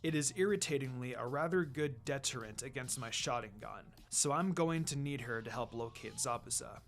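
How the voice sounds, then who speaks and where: A man voicing a calm, weary, commanding ninja teacher in a measured, matter-of-fact way, for a story reading. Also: medium pitch, vocal fry, soft background music, voice pushed down, close to an everyday voice.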